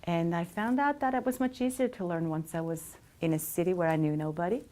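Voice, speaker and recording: A woman's voice: on the low side, a little fast, dark tone. A middle-aged woman from Switzerland, telling her life story in an interview with frequent hesitations. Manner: reflective, many hesitations. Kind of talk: casual storytelling.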